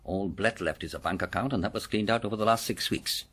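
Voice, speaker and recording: Husky commanding British police inspector. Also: male voice, on the low side, quick pace, fairly bright tone. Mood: grave. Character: excitable commanding senior police inspector, alarmed and grave. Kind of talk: melodramatic period detective radio drama.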